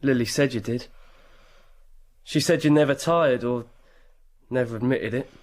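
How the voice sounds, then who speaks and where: An actor playing a morose, broken drunkard in a radio drama, brooding and low-key, repeating his words. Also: measured pace, natural voice.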